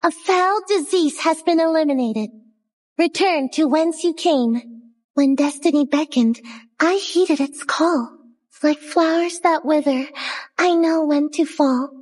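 A woman voicing a gentle young shrine guardian spirit for a video game, speaking in soft, girlish, earnest and poised lyrical lines. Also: high voice, takes it slowly. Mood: tender, wistful. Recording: long reverb on studio voice lines.

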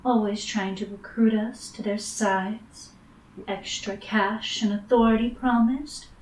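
Femme fatale, female actor: sly, teasing, jaded, unhurried, noir radio play, roomy distant-mic sound. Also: mid-range voice, steady tone, a little hiss or hum, lightly acted. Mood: weary, knowing. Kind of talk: noir radio drama acting. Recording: echoey room, distant microphone.

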